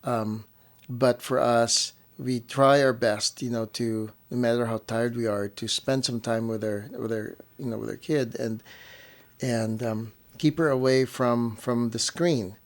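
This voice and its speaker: Male parent co-host, medium pitch, conversational, repeats himself for emphasis, lots of "you know". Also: fairly even intonation, measured pace. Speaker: parent co-hosting a family show. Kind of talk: casual conversational chat with partner.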